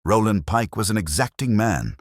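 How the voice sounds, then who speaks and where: A man's voice: on the low side, lively intonation, normal speed, dry recording, clean studio sound, voice pushed up. A man voicing a prim, opinionated woman of a village committee, declarative and disapproving, in a mystery story.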